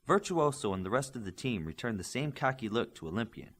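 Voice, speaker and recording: A man's voice: mid-range voice, sing-song, a little fast. A man reading fiction aloud as scripted audiobook narration, voicing dialogue along the way.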